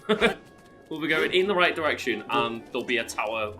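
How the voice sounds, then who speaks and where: A man with a low, gravelly voice and a sore throat, laughing easily while guiding a friend through a game. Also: a little fast, music in the background. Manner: laughs often, relaxed guide. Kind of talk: casual gaming chat.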